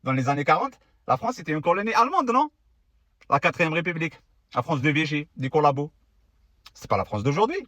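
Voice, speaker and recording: A man's voice: fairly high pitch, very animated, brisk, pauses often, pushed and bright, no echo. A man giving casual, argumentative history commentary to camera, sarcastic and full of rhetorical questions. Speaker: video creator.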